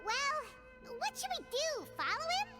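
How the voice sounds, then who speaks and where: A tiny, squeaky fairy sidekick with an extremely high, childlike pitch. Cheeky and doubting, it bounces around in tone like a game companion, over loud music. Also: female voice, very animated, measured pace, full character voice. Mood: puzzled. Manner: cheeky, blunt. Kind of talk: video game character acting.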